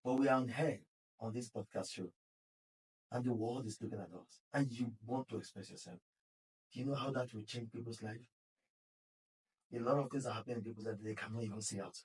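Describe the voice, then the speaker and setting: A man's voice: medium pitch, steady tone, a little fast, long pauses, recorded from a distance, low-quality recording. A Nigerian man, founder of a podcast studio, earnestly urging listeners to speak up in a casual interview. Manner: earnest, exhorting.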